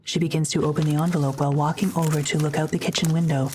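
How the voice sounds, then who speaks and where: Female audio drama narrator, fairly low and even delivery, faint noise behind. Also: racing. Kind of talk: scripted present-tense drama narration.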